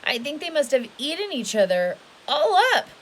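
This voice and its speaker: A woman's voice: sits high, sing-song, moderate pace, pitched above the performer's own voice. A woman voicing a very old woman who is practical and kindly, a little fretful, in a storybook. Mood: regretful. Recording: hissy home recording.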